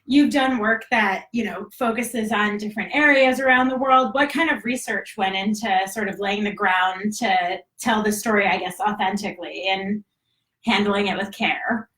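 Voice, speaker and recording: A woman's voice: medium pitch, normal speed, fairly bright tone. A woman hosting a live interview, upbeat, with hedging phrases like "sort of" and "you know". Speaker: interviewer, magazine editor. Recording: roomy echo.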